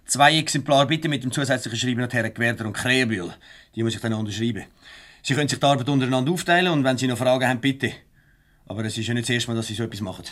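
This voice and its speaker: An actor playing a hurried, businesslike office boss with a Swiss German accent, giving formal instructions in 1970s radio comedy style. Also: male voice, mid-range voice, fairly bright tone, natural voice.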